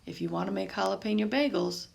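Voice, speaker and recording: A woman's voice: mid-range voice, fast, vocal fry, slight background noise. A woman reads a children's picture book aloud expressively, adding small explanatory asides. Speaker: storybook reader, teacher.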